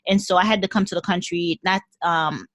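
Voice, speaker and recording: A woman from Haiti with a Haitian accent, a podcast guest talking in a lively way and often repeating words as she gathers her thoughts. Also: lowish voice, a little fast, no echo. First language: French and Haitian Creole. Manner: animated, repeats words while thinking. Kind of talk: casual interview conversation.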